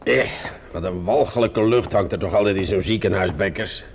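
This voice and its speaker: A complaining police inspector in a radio drama. His voice sits fairly low and he sounds fussy, with faint noise behind. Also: normal speed, vocal fry. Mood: grumbling. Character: grumbling male police inspector. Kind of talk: Dutch radio play acting.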